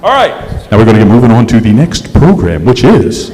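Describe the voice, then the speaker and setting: A man's voice: medium pitch, sing-song, fairly quick, a little mellow. A man hosting a live stage show, introducing it informally to an audience. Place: hall of a museum courthouse, on stage. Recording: echoey hall, distant stage sound.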